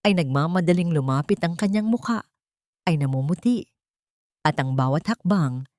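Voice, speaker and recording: A woman reading a dramatic scripted story in a solemn, deliberate manner. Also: on the low side, a little mellow, dry recording, studio quality.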